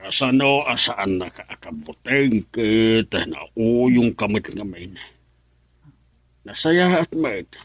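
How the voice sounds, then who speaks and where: A man in an Ilocano family radio drama. His voice is low and husky with an Ilocano accent, in a dry recording. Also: animated, slow, no echo. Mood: insistent.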